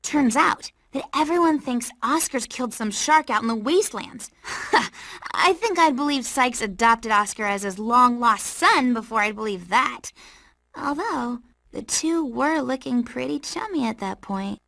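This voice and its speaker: A young female cartoon fish. She tells her story in a fairly high, earnest, exasperated voice, fond but fretful. The game recording is close and dry. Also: lively intonation, a little slow, vocal fry, sharp tone, no room sound, exaggerated voice. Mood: incredulous. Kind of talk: video game cutscene monologue.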